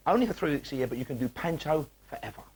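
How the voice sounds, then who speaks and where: A man's voice: fairly high pitch, animated, very fast. A British man giving humorous talking-head commentary in a wry, exaggerating way. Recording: VHS transfer with faint music.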